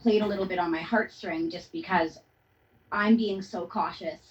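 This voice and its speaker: A woman's voice: on the low side, steady tone, moderate pace. A woman answering casually as an interviewed resident. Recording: dull, distant replayed clip.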